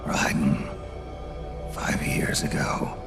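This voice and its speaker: An actor playing an aging, hardened soldier in a game cutscene, speaking tersely, low and steady with a gravelly voice. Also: male voice, very slow, over loud music. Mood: grim, earnest. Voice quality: gravelly, very deep. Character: aging hardened male soldier, grim and weary. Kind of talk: video game cutscene acting.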